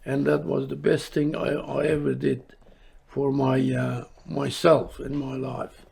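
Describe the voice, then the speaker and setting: A husky older man from Iran gives a reflective interview. His voice is full of creak and rasp. He picks his way through sentences haltingly, searching for the next word. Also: mid-range voice, flat delivery, a little mellow. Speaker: service club member interviewed.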